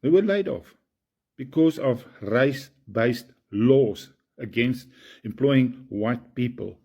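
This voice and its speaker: A man from South Africa with an Afrikaans accent, speaking English as a second language in a halting, indignant monologue full of fillers. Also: mid-range voice, animated, a little mellow, breathy edge. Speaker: video commentator. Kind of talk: casual monologue to camera.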